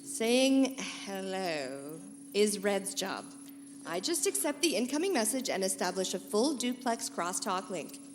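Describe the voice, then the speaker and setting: A woman acts a sassy, territorial girl reporter, drawing out her clipped retorts with lots of swing in pitch. It is a live pulp radio play in a noisy hall. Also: lively intonation, unhurried, close to an everyday voice. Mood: snippy. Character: feisty, territorial pulp-serial girl reporter and communications officer. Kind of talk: live sci-fi comedy radio play in pulp serial style. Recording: noisy live convention hall, reverberant with hum.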